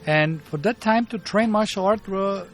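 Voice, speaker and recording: A man in his fifties from Bulgaria, speaking English with a Bulgarian accent as a martial arts teacher being interviewed, pausing often to search for words. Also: high-pitched, faint music behind. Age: 50s. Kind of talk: interview storytelling.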